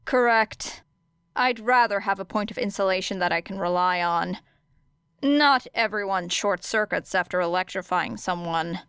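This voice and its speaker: A guarded teenage girl acted by a woman reader, blunt and deadpan. She speaks in stiff, clipped, weary phrases with a clear, smooth tone in a dry recording. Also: medium pitch, moderate pace, no room sound, natural voice.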